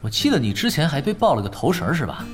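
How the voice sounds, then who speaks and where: A cool, confident veteran player in a game-world audio drama. His speech is quick, lively and casually teasing, with clear music behind it. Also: a little high. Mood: relaxed, confident. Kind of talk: Chinese audio drama acting.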